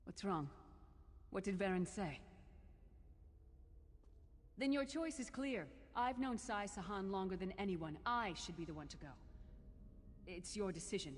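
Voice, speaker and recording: A woman's voice: mid-range voice, measured pace, pauses often, close to an everyday voice. An actress playing a loyal, battle-hardened warrior woman in a fantasy video game, speaking directly, earnestly and resolutely. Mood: earnest, determined. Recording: reverberant, with faint background noise.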